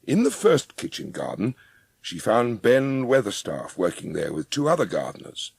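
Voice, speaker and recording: A man's voice: medium pitch, sing-song, normal speed, creaky, darkish tone, husky, no room sound. A man narrating an audiobook story in a scripted, storytelling style.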